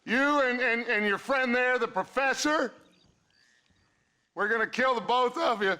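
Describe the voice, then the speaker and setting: Slow, high, bright-voiced outlaw boss, showy speeches, mocking. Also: male voice, clean tone, heavily acted. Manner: grandiose, mocking, slow and theatrical. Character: charismatic male outlaw gang leader, smooth-talking. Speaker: gang leader.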